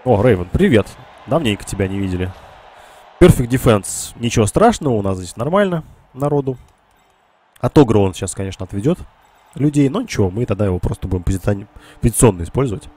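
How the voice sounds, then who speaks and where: A man with a low, husky voice and a bright edge commentates his game live. He sounds congested and nasal from a cold. His delivery is casual, as he reasons through each move aloud for his viewers. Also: sing-song, quick pace. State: sick with a cold. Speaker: game streamer.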